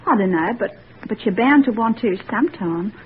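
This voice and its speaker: A woman's voice: medium pitch, animated, moderate pace, natural voice. An actress playing an anxious, devoted young working-class village girl, breathless and pleading. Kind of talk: 1940s radio acting. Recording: tinny old radio broadcast, faint hiss.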